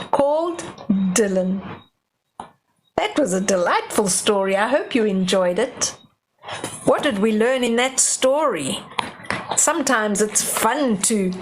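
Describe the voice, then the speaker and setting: Slow, high-voiced woman storyteller. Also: slight background noise, rough recording. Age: older. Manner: dramatic, explaining simply to children. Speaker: children's storyteller. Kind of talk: storybook read-aloud with asides to young children.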